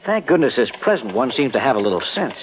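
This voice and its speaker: An actor playing a genial, chatty insurance executive with a folksy, familiar manner in a vintage radio drama. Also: male voice, mid-range voice, big pitch swings, fast, close to an everyday voice. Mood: wry, gossipy. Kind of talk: 1950s-60s radio drama acting. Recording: tinny vintage radio recording.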